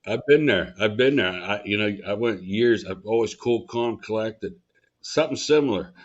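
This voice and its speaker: A man's voice: on the low side, normal speed. A man interviewing a guest in a casual conversational manner. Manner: casual, asks questions with restarts. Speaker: interviewer, host. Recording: dull lo-fi audio.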